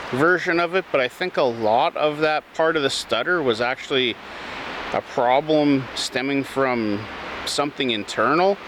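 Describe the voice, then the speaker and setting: Slow-paced man talking over outdoor noise. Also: mid-range voice. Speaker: video channel host. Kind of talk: unscripted motivational talk to camera.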